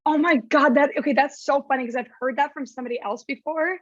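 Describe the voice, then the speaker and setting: A young woman with a raspy voice, chatting casually as a podcast guest and saying "like" a lot. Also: high-pitched, fast, dry recording, dull audio. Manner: chatty, heavy use of "like". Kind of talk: casual chat.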